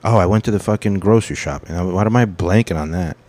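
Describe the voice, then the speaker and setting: A man's voice: sits low, fast, darkish tone. A man in his thirties, a comedian hosting his own podcast, rambling through his week in a casual, profane, self-correcting monologue.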